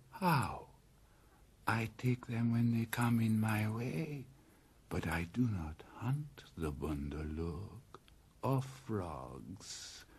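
A man voicing a huge male rock python, with a slow, husky, hissing half-whisper in an audiobook reading. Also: on the low side, pitched above the performer's own voice, exaggerated voice. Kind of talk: audiobook character voice.

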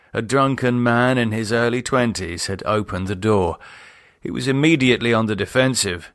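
A man's voice: lowish voice, a bit bright, dry recording, studio quality. A man with a British accent reading a novel aloud as scripted dramatic narration. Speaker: audiobook narrator and author.

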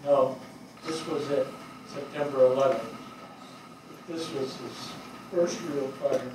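An older man acting a grieving, earnest, plainspoken veteran fire captain in a halting stage reading. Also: medium pitch, fairly even intonation, close to an everyday voice. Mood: somber. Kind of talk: dramatic stage reading of a play. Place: small theatre stage before seated audience. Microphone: distant camera microphone. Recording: echoey hall, distant mic, clear noise.

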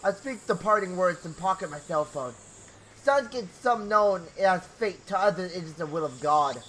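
Bright, high-voiced young man reading aloud. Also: lively intonation, moderate pace, a little hiss or hum, rough recording. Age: young adult. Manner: theatrical, stumbles over words. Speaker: gaming video host. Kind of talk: reading visual novel narration aloud with casual asides. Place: small home bedroom. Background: game music.

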